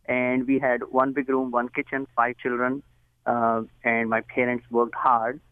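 A man's voice: medium pitch, monotone, measured pace, clear, resonant tone, dry recording. A man from India with an Indian accent, a guest telling his story over the phone in an earnest, chatty way and laughing at his own jokes. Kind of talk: phone interview. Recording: tinny phone line.

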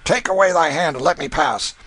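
A man voicing a rough, harsh father who gives orders in old-fashioned speech. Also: medium pitch, a crowd in the background, voice pushed up, lightly acted. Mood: gruff. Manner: rough, commanding, slow. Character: gruff, harsh Tudor father on the run.

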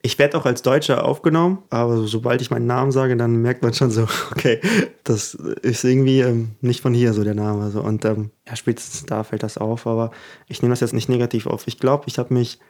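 A man's voice: medium pitch, fairly even intonation, brisk, a little mellow. A young man in his twenties, from Ukraine, talking reflectively and earnestly as an interview guest, laughing easily between thoughts. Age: 20s. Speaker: guest musician. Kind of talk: casual interview talk.

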